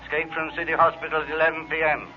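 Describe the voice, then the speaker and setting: An actor playing an official, emphatic police dispatcher, reading bulletins in a formal, clipped way in an old radio drama. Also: male voice, on the high side, little pitch movement, racing, lightly acted. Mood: urgent, official. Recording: muffled, noisy old radio recording.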